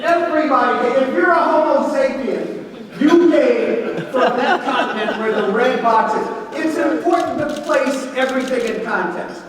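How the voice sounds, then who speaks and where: Keynote speaker, male: loud, self-assured and boastful, heard from far off in a reverberant banquet hall. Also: very high voice, normal speed, a crowd in the background. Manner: confident, boastful, jokey lecturing. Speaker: guest speaker, economist. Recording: echoey hall, mic from far off.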